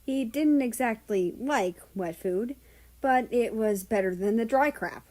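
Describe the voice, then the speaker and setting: A woman's voice: fairly high pitch, a little slow, darkish tone. A woman reading a third-person fan fiction story aloud as a narrator.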